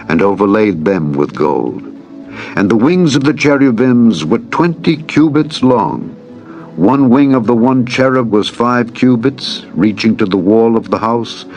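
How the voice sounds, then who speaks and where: A man reading scripture as a scripted audiobook narration, in a measured, solemn manner. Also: fairly low pitch, darkish tone. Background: music bed.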